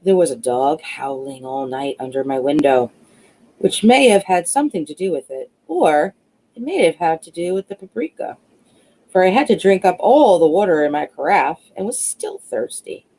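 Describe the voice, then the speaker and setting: A woman reading a classic novel aloud as an audiobook narrator, expressive but a little halting in places. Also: deep voice, low-quality recording. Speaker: audiobook reader.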